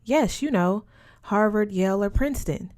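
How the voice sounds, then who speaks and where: A woman's voice: mid-range voice, animated, moderate pace, a little mellow, close to an everyday voice. A woman voicing a frank, worldly teenage boarding-school girl in an audiobook, breezy and emphatic. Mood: knowing, gossipy.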